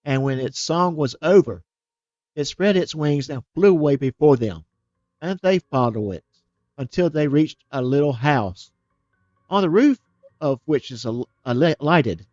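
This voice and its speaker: A man reading a fairytale aloud as a storyteller, steady and plain, now and then restarting a word. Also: a little high, unhurried, no echo, lo-fi sound.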